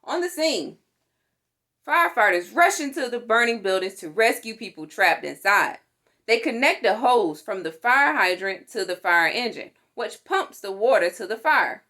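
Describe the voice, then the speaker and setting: Animated woman reading a children's picture book. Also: on the high side. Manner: deliberate, animated, with playful sound words and exclamations. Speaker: storytime reader for a fire department. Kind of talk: expressive read-aloud of a children's picture book.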